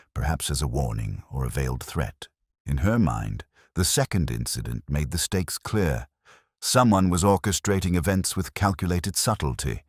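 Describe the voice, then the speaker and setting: A man narrating a scripted mystery story in a measured, formal storytelling manner. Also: deep voice, dry recording, studio quality. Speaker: audiobook narrator.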